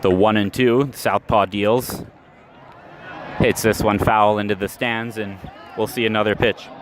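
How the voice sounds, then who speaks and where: A man's voice: lowish voice, steady tone, a little hiss or hum. Man calling baseball play-by-play. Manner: measured, rises sharply on big plays. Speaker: play-by-play announcer. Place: ballpark, outdoors.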